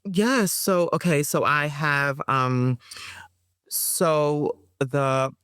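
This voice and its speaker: A woman's voice: sits low, very slow, a bit bright, clear, resonant tone, no room sound, studio quality. A woman author and performer answering interview questions in a loose, rambling way, full of ums and restarts, with a playful streak. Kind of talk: casual interview talk.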